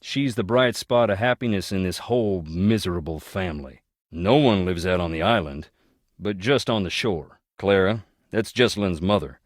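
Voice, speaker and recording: A man's voice: sits low, normal speed, no echo, studio quality, lightly acted. An actor playing a brooding, plain-spoken man from a rich, troubled old family, talking in a folksy, wry and bitter way in a video game. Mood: grave, regretful. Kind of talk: video game character dialogue.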